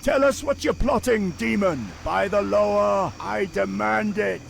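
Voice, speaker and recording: A man's voice: high-pitched, a little slow, gravelly, a little hiss or hum, heavily acted. A man voicing a fierce, loyal troll chieftain in a fantasy game, commanding, with folksy dialect grammar. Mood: demanding. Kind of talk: fantasy game cutscene acting.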